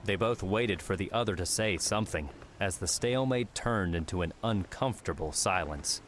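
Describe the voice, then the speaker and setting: A man's voice: low voice, moderate pace, a bit bright, slight background noise. A man reading scripted fiction as an audiobook narrator.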